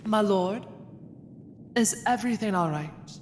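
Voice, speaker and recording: A woman's voice: mid-range voice, unhurried, darkish tone, close to an everyday voice. A woman playing a loyal, candid retainer in an audio drama, formal and dryly teasing. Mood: composed, teasing. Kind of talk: fan audio drama acting. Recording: reverberant hall, faint noise.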